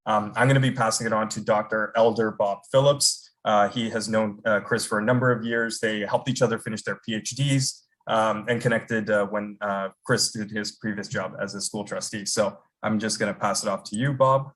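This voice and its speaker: A young man with a fairly low voice hosts an online event. He is upbeat and chatty, and his introductions are full of ums and restarts. He sounds casual and friendly. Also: steady tone, normal speed, few pauses, fairly bright tone, rough recording. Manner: upbeat, filler-heavy introductions. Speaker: riding association president hosting the event. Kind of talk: casual event hosting on a video call.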